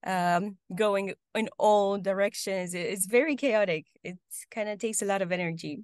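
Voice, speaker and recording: A woman's voice: medium pitch, normal speed, clean tone. A young woman with a Romanian accent speaks on a video call from home, in a casual, hesitant way full of ums and small restarts. Her voice sits in a middle register. The sound is dry and close, with little room echo. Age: young adult. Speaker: guest. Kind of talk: casual interview answers.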